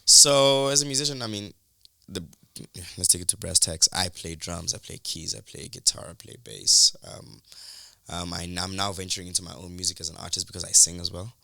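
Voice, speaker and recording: Young man, low voice, gravelly, bright, dry close sound, rambling interview talk. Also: brisk, dry recording. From South Africa, Durban. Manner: relaxed, rambling with fillers and restarts. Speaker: musician guest. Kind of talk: casual interview storytelling.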